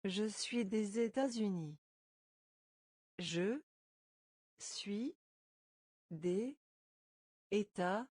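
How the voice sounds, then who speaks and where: A French teacher, a woman, drilling phrases slowly, breaking each into single words and then repeating it whole. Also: mid-range voice, darkish tone, slightly rough, no echo. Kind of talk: slow phrase-by-phrase pronunciation drill.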